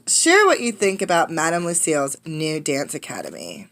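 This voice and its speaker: A woman teacher reading a storybook aloud to children, expressive and instructive, often putting questions to the listeners. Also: deep voice, normal speed, vocal fry.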